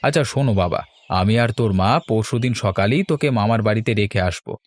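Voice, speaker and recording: A man voicing an indulgent village father in a cartoon, coaxing and gently teasing. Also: lowish voice, brisk, close to an everyday voice. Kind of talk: Bengali cartoon story dialogue. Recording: music behind the voice.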